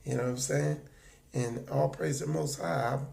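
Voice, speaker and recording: A man hosting a livestream in casual, rambling chat, his voice raspy and hoarse from just waking up, talking in halting, earnest stretches. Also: medium pitch, steady tone, darkish tone. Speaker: livestream host.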